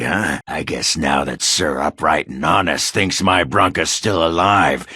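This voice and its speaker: A gruff dwarf warrior from a fantasy video game. His voice is extremely low and full of gravelly fry, with a forceful, hard edge. He talks bluntly and crudely, like a sardonic drinker with a grudge. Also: no room sound, clean studio sound, exaggerated voice. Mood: earnest, insistent. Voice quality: very deep, gravelly, rough. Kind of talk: video game character dialogue.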